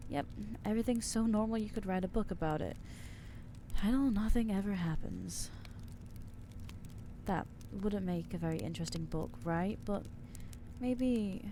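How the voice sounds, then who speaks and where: A woman's voice: mid-range voice, mellow, a little hiss or hum, lightly acted. A woman playing an anxious rambling vampire. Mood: wistful. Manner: nervous, stammering, self-deprecating rambling. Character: anxious young female vampire, awkward and rambling. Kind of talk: ASMR audio roleplay.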